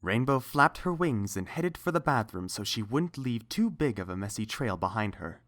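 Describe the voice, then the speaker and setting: A woman's voice: very deep voice, very animated, moderate pace. A woman reading a scripted story aloud as the narrator.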